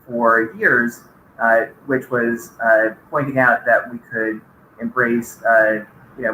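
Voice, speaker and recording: A middle-aged man from the Bahamas giving a conference talk, pausing often with many filler sounds. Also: medium pitch, bright, forceful tone, roomy sound, slight background noise, rough recording. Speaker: conference speaker.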